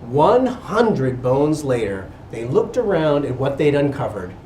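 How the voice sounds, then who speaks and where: A man giving an expressive picture-book reading to children, pausing for little asides. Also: mid-range voice. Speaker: guest reader at a school. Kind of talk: animated picture-book read-aloud to children. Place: indoor school room with children. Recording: roomy, distant mic with background noise.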